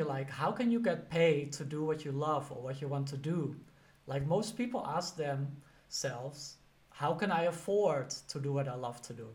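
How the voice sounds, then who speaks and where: Dutch guy teaching career tips, kinda high voice, chatty, lots of you know and like. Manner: conversational, sprinkled with "you know" and "like". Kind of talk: motivational career advice talk.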